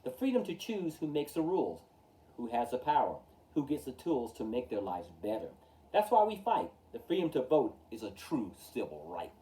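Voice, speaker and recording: Male storytime reader: mellow tone, lively swooping intonation, emphatic rhyming verse, recorded a little far from the mic. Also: medium pitch, normal speed. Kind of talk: expressive children's picture-book read-aloud. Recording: distant from the mic.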